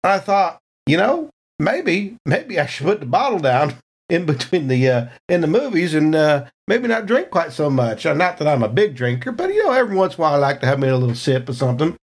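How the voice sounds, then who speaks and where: A man's voice: fairly high pitch, very animated, fairly quick. A man playing a folksy, rambling, good-natured Texan movie theater owner with a Southern US accent, telling a story in a tabletop game. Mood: bemused, rambling. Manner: folksy, rambling, drawling colloquial speech.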